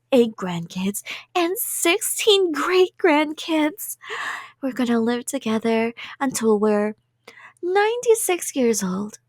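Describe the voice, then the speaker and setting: A woman plays a doting, delusional yandere in an ASMR roleplay. Her voice is rather high and very slow, recorded close and dry. She rambles sweetly and playfully, slipping toward whispers, cheerfully obsessed. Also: a little mellow, no echo.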